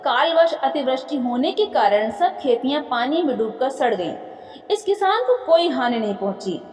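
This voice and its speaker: High-pitched, animated woman storyteller in echoey room. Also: moderate pace, faint music behind. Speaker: story narrator. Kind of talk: expressive reading of a moral folk tale. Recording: echoey room with long reverb.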